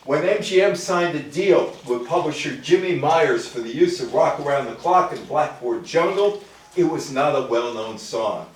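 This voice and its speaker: A man's voice: fairly high pitch, expressive, normal speed. A man giving an informal history lecture to a live audience, putting rhetorical questions to his listeners. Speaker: lecturer, public speaker. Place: indoor room with a live audience. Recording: very echoey room, distant mic.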